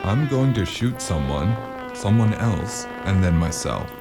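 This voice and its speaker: Very deep, gravelly noir investigator. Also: male voice, measured pace, loud music behind the voice, full character voice. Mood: sardonic. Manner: dry, deadpan, clipped. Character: weary, sardonic male noir investigator in a cyberpunk city. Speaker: lead actor and narrator. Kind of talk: noir audio drama acting and narration.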